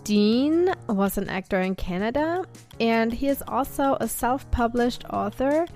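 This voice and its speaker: A woman hosting a podcast, chatting in a relaxed way with plenty of fillers. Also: fairly high pitch, unhurried, faint music behind. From Germany. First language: German. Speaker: podcast host. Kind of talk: casual podcast interview. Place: home in Texas, on a call.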